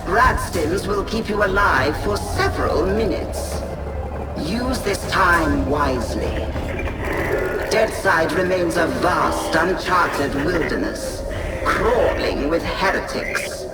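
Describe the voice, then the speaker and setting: An ominous, imperious officer in a game briefing. The voice is high and slow, declaiming coldly from far off in long reverb, over music. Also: male voice, lively intonation, exaggerated voice. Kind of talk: video game cutscene briefing. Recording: echoing long reverb, distant, loud music.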